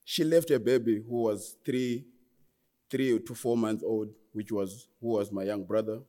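Young man, Zimbabwean accent, low mellow voice, earnest and halting, long hall reverb. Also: fairly even intonation. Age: 20s. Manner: earnest, halting, restarts phrases. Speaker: guest speaker. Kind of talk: personal testimony talk.

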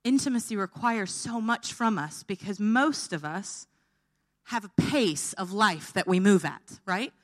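A woman's voice: medium pitch, unhurried. A woman preaching an informal sermon, her voice tired and held back, often checking in with her listeners.

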